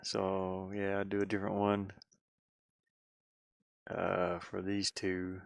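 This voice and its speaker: Slow-talking man with a low, clear voice. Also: completely flat delivery, no room sound, studio quality. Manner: halting, thinking aloud. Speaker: streamer and hobby game designer. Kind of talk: casual rambling livestream chat.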